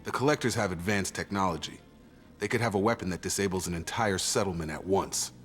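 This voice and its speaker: A man playing a steady, pragmatic soldier squadmate in a video game, with a matter-of-fact manner. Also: sits low, fairly quick, faint music behind. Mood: explaining.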